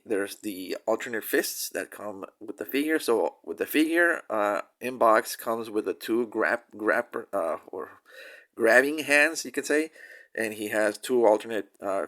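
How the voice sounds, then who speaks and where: A man's voice: lowish voice, a bit bright. Nasal man recovering from a cold. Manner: halting, many fillers and restarts. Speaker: toy reviewer. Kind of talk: casual product review.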